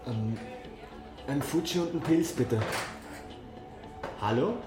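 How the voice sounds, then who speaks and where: A man's voice: mid-range voice, lively intonation, takes it slowly. A man acting a dry, sceptical young bar-goer in casual, laconic radio drama dialogue. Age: young adult. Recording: echoey room, music behind.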